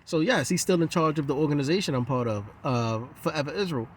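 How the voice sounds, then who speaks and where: A man's voice: on the high side, fast talker, slight background noise. A man giving a heated, profane, rambling monologue in a casual rant.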